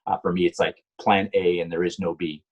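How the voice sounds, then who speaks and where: A man's voice: deep voice, level tone, fairly quick, highs cut off. A middle-aged man from Puerto Rico, a guest answering interview questions in a loose, rambling way with many ums and restarts. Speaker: guest, stunt driver and car designer.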